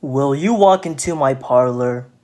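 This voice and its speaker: A woman voicing a sly, flattering male spider for a children's storybook, with slow, honeyed, drawn-out coaxing. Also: rumbling low. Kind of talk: children's storybook read aloud.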